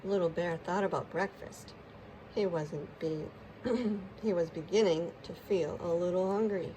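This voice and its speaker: A woman's voice: fairly low pitch, a little slow, mellow, a little hiss or hum, poor audio. A woman storyteller narrating a children's storybook expressively.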